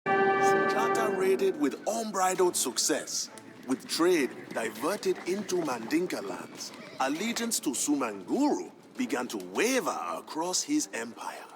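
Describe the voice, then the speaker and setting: A solemn griot narrator for a game, proud n grand, measured delivery, slightly high male voice, music clearly behind. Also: big pitch swings. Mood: proud, rousing. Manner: grand, measured, emphatic storytelling. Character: proud male griot, a king's humble family historian, solemn storyteller. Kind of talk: dramatic scripted narration for a strategy game campaign. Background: background music.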